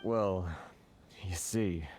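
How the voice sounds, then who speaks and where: A man's voice: low voice, extremely slow, noisy background. A man voicing a polite, flustered merchant in a video game, speaking in a hesitant, apologetic way. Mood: embarrassed. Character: flustered, polite male merchant of a trade association. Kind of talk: video game character acting. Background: background music.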